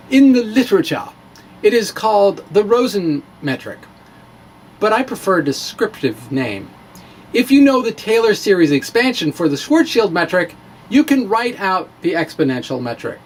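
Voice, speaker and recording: A man giving a dramatic reading of a science blog, halting and theatrical, with informal wry asides. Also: high voice, a little hiss or hum. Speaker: physics blogger.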